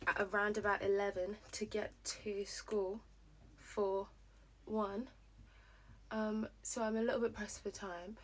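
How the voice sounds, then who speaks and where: Young girl rambling thru her grwm, kinda hoarse, lots of likes and ums. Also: medium pitch, poor audio. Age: young adult. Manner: chatty, rambling, lots of fillers. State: slightly hoarse. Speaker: vlogger. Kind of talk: casual get-ready-with-me chat.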